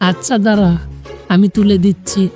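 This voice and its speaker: A woman's voice: medium pitch, steady tone, moderate pace, mellow, soft background music, natural voice. A woman playing a mischievous, cocky but caring village boy in a romantic audio story, with a playful, taunting manner.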